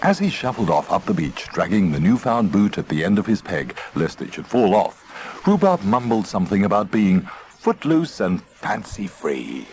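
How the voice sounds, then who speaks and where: A man narrating a children's story in an expressive, playful manner. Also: fairly low pitch. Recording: faint noisy old tape transfer.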